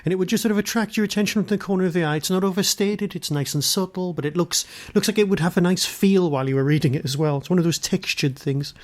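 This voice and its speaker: Brit podcast host bloke, highish voice, casual chat, feels his way through questions. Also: quick pace, hardly any pauses. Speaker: podcast interviewer.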